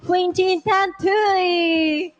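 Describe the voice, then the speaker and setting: A woman's voice: very high voice, steady tone, extremely slow, lo-fi sound, soft background music. A woman co-hosting a live pageant, announcing formally in non-native English. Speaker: pageant co-host. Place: large arena stage.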